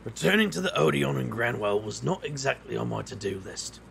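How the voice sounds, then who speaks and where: A man playing a cocky, wry magician hero in an urban fantasy audio drama, gravelly and bantering. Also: mid-range voice, expressive, brisk, fairly bright tone, a little hiss or hum. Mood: wry narrating. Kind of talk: audio drama dialogue and first-person narration.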